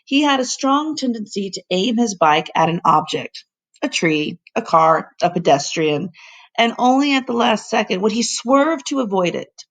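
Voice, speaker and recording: Female storybook narrator, teacherly: mid pitch, creaky edge, measured and expressive. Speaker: teacher reading aloud.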